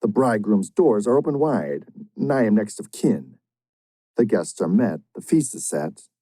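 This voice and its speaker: A man's voice: lowish voice, big pitch swings, moderate pace, dark tone, dry recording. A man voicing an impatient young male wedding guest in a dramatic poetry reading, speaking in a raised, pleading tone.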